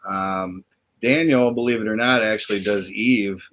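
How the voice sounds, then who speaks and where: A man, a voice actor and co-creator, talking casually and haltingly on a video call. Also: on the low side, fairly even intonation, a bit bright. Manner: halting, filler-heavy. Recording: dull video-call audio.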